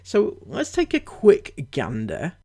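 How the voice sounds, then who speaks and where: A man's voice: high-pitched, wildly swooping pitch, takes it slowly, gravelly, warm tone, husky. A man with a cold, giving a mocking, chatty commentary as a vlogger, full of asides and fillers. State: has a cold. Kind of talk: sarcastic commentary.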